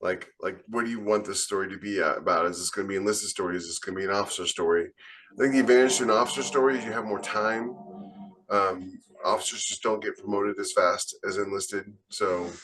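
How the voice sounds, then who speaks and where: A man's voice: lowish voice, fairly even intonation, fast, noisy background. A man co-hosting a casual panel discussion, thinking aloud in a conversational way. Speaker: podcast co-host.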